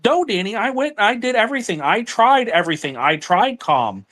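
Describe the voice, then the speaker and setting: A man's voice: high-pitched, big pitch swings, normal speed, a bit bright. A man in a heated personal argument over the phone, frustrated and accusatory, repeating himself. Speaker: partner on a phone call.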